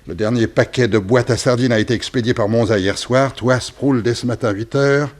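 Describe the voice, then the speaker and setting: A man plays a cold, commanding underworld chief in an old French radio thriller. His middling-pitched voice is curt, sardonic and used to being obeyed. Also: moderate pace, close to an everyday voice. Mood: exasperated, curt. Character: cold, cynical, commanding male gang boss. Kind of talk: 1960s French radio crime drama acting.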